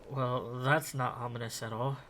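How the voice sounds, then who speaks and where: A man's voice: medium pitch, flat delivery, moderate pace, fairly bright tone, slight background noise, close to an everyday voice. An actor playing a hesitant, sceptical teenage boy in an audio drama, with a wry manner.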